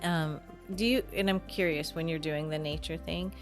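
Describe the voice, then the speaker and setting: Woman, low pitch, raspy fry, gentle coaching tone, podcast co-host, music behind. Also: steady tone, moderate pace. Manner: gentle, guiding, asks curious questions. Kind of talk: casual chat.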